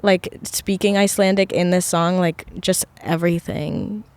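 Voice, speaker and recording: A woman's voice: on the low side, little pitch movement, normal speed, darkish tone, smooth. A young woman chatting casually as an interviewer, affirming and conversational in her way of talking.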